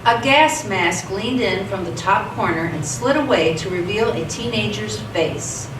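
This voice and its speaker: A woman's voice: medium pitch, expressive, a little slow. Woman, radio theatre narrator, scripted storytelling, echoey, distant mic. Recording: echoey stage, distant mic, clear noise.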